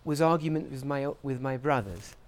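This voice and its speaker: Male interviewee, scientist. Mid-pitched, measured and articulate, reflective academic delivery. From grew up in Portugal, England and the US. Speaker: interviewee, scientist at a research institute.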